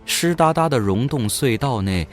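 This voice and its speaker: A man's voice: mid-range voice, lively intonation, moderate pace, faint music behind. A man reading scripted, dramatic narration for a fantasy audio drama as its narrator.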